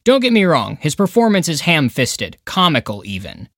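A man's voice: on the high side, big pitch swings, normal speed, a bit bright, dry recording, clean studio sound. A man reading a scripted review countdown as a podcast narrator and critic, with an emphatic, opinionated, dramatic way of phrasing.

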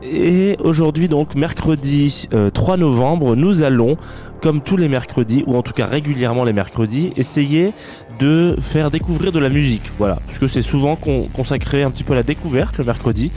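A man's voice: mid-range voice, normal speed, dark tone, soft background music. A man hosting a live radio show, chatting casually with plenty of fillers, restarts and self-mocking jokes. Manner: hesitant, many fillers and restarts. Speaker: radio host.

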